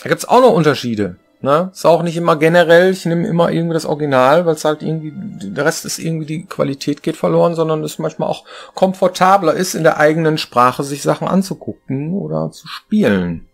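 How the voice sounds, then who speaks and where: Gravelly, higher-voiced German co-host. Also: male voice, fairly quick, few pauses, soft background music. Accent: Ruhr German. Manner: chatty, asks rhetorical questions. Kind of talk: casual studio chat. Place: home studio with shelves.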